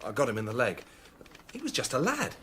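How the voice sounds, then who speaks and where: An actor playing an earnest, shaken police sergeant with a British accent, reporting plainly and urgently to the chief in a radio drama. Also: male voice, medium pitch, huge pitch swings, fairly quick, a bit bright, slight background noise.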